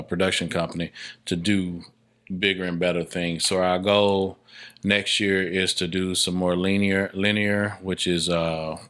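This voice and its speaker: A man's voice: sits low, fairly bright tone. A man speaking casually as an interview guest, emphatic and often repeating his phrases. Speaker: podcast guest, filmmaker.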